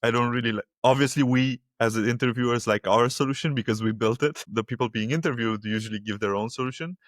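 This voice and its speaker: A man's voice: mid-range voice, fast talker, no room sound, studio quality. A man hosting a podcast interview, talking loosely and conversationally with many fillers. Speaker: podcast host. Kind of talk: casual interview chat.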